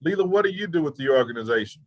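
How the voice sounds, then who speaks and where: A man hosting a webinar over a video call, in a relaxed, folksy, chuckling manner. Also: on the high side, rapid-fire, no room sound, highs cut off. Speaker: webinar host. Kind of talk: casual chat.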